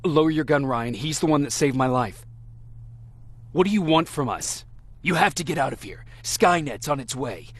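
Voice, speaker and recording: An actor playing a grave, commanding resistance fighter in a video game, speaking in terse, insistent phrases. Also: male voice, a little high, animated, moderate pace, slightly rough. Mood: grave, guarded. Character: grave resistance fighter man guarding someone, urgent, commanding. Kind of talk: video game cutscene acting.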